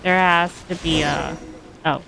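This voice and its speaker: A woman's voice: low voice, steady tone, measured pace. A woman giving casual, fragmentary commentary to herself as she plays a video game. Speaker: gamer recording a playthrough. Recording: clear game noise behind the voice.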